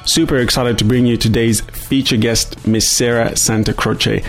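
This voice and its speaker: A man's voice: medium pitch, fairly even intonation, moderate pace, faint music behind. Enthusiastic male podcast host. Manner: enthusiastic, effusive. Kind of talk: upbeat podcast hosting and sponsor read.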